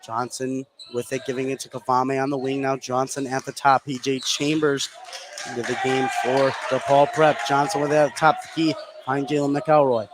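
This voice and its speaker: A man's voice: medium pitch, little pitch movement, normal speed, background noise. An American man doing live play-by-play basketball commentary on radio.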